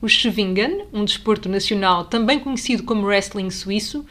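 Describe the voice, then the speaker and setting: A woman's voice: medium pitch, fairly quick, fairly bright tone. A woman with a European Portuguese accent, presenting scripted narration as a podcast host.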